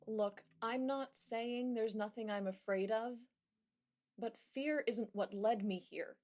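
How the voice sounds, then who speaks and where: A woman's voice: fairly high pitch, slow pace, a little mellow. A woman playing a defiant, easily angered patient in a horror audio drama. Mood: irritated. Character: defiant, angry young female patient fighting her fears.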